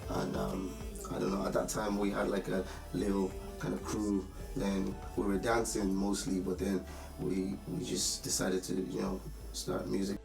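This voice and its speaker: A young man from Nigeria, a musician, talking about his music in a relaxed, halting way with many pauses, over a music bed in a roomy space. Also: on the low side, vocal fry. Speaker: musician interviewee. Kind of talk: casual interview talk. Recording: roomy sound with music behind.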